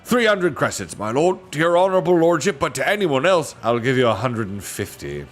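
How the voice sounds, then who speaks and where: A man's voice: medium pitch, sing-song, fairly quick, faint music behind, voice pushed up, lightly acted. A man doing Pug, a wheedling pirate slaver. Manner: wheedling, coarse, folksy. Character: greedy, wheedling male slave-dealer pirate.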